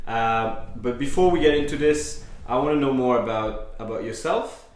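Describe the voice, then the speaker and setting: A man's voice: medium pitch, moderate pace. Hesitant male host, echoey room. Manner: hesitant, many fillers. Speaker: podcast host. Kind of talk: casual interview.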